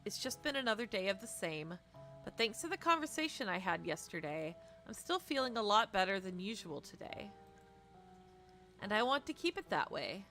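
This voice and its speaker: A young woman streamer reading a visual novel aloud expressively, with dramatic, casual, animated asides. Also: medium pitch, normal speed, faint music behind.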